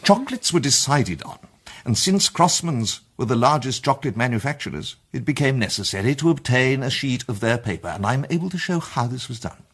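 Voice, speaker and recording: An actor with an English accent playing an urbane, self-assured gentleman detective, polished and theatrical in a radio drama. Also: mid-range voice, very animated, a little fast, slightly rough. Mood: confident, enthusiastic. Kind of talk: British radio drama acting.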